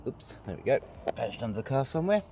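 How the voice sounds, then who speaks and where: A man with a gravelly voice from a cold, casually talking through a hands-on installation while he works. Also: mid-range voice, fast talker, a little hiss or hum. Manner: relaxed, chatty, talks while working with hands. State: hoarse from a cold. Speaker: product installer demonstrating. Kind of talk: casual how-to demonstration.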